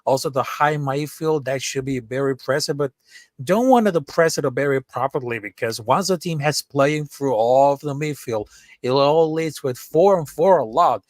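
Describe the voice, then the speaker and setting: A man's voice: medium pitch, normal speed, no room sound. A man from Venezuela with a Venezuelan Spanish accent, calling in to a football show and speaking earnestly and carefully as he searches for words. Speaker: caller. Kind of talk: casual chat.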